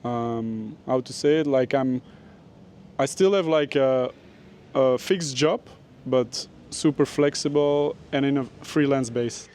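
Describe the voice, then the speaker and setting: A man's voice: mid-range voice, takes it slowly, darkish tone. Young man from Belgium, rambling, searches for words, casual outdoor interview. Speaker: interviewee, graphic designer.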